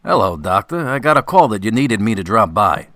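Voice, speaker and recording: Radio drama cop, fairly deep voice, casual and unsure, faint noise and clicks. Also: male voice, sing-song, a little fast, fairly bright tone. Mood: unsure, official. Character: hesitant policeman making an arrest. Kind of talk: scripted radio drama acting.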